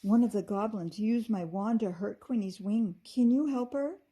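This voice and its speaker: A woman's voice: mid-range voice, moderate pace, warm tone, breathy edge, close to an everyday voice. A woman voicing a sweet, caring little fairy girl in a storybook, with earnest expression. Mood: anxious pleading.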